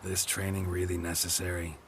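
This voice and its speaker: An actor playing a gruff, laconic male monster hunter with a gravelly, very deep voice in a game cutscene. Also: completely flat delivery, a little fast, a little hiss or hum, natural voice. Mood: dry. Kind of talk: video game cutscene acting.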